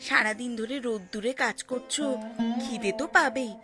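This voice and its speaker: A woman's voice: a little high, normal speed, natural voice. A woman playing a caring village housewife looking after her family in a cartoon. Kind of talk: cartoon dialogue. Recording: loud music over the voice. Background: loud plucked string music.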